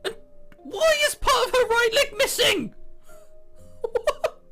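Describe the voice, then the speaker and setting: Male game commentator, bright and high, incredulous and joking, music underneath. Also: expressive, takes it slowly, vocal fry. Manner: incredulous, jokey exclamations. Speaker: game streamer host. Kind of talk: commentary while reading a visual novel aloud. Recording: music playing behind. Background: game background music.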